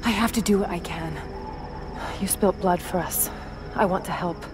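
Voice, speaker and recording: An actress playing a determined young adventurer in a video game, earnest and intense. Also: female voice, on the low side, normal speed, lightly acted. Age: young adult. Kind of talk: cinematic game acting. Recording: music behind.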